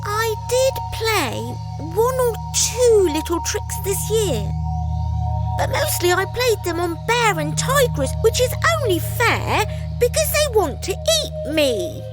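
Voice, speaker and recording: Storybook monkey played by a woman: very high, squeaky, childlike, mischievous, swooping delivery at an unhurried pace. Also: very animated, over loud music, exaggerated voice. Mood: reluctant confession. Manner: squeaky, childlike, hesitant boasting. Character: cheeky mischievous young male monkey, self-justifying. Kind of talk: storybook character voice.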